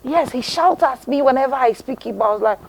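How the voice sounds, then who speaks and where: Nigerian woman hosting a morning tv show, chatty storyteller, bit high voice, faint hiss. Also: animated. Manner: chatty, tells anecdotes, quick asides. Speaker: breakfast show host. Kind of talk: studio talk show conversation. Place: TV studio.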